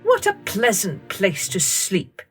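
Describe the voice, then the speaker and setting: A woman's voice: medium pitch, wildly swooping pitch, slow pace, a bit bright, faint music behind, heavily acted. A woman voicing the sons of a frost spirit, courteous and formal travelling men in a storybook tale. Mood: gracious. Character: Father Frost's travelling sons, polite wintry male strangers.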